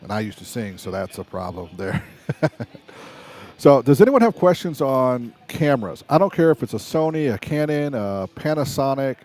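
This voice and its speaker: A middle-aged man with a lasting rasp in his voice talks through a casual live stream. His delivery is chatty and loose, full of restarts and side remarks, and his pitch sits mid-range for a man. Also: big pitch swings, moderate pace, a little mellow. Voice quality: raspy. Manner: chatty, rambling, restarts often.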